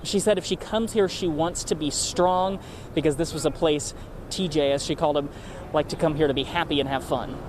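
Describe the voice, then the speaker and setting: A man's voice: fairly high pitch, brisk. A man with an American accent giving a live TV news report outdoors. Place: outdoors on a city street. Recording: noisy outdoor street with traffic.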